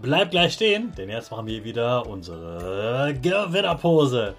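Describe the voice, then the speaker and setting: A man's voice: medium pitch, sing-song, unhurried, fairly bright tone, soft background music. A man hosts a children's podcast, talking directly to the child in simple, encouraging, motivational words.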